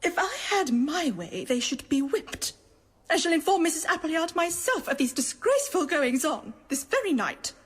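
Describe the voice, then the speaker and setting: An actress playing a prim, fussy schoolmistress, timid and indignant, in a radio drama. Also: female voice, high voice, sing-song, moderate pace. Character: prim, fearful, tale-telling schoolmistress. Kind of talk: dramatised radio novel acting.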